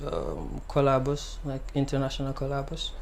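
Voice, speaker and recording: A young man from the Gambia, a singer-songwriter, answering interview questions softly and hesitantly, with many pauses and ums. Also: medium pitch, animated, creaky, slight background noise.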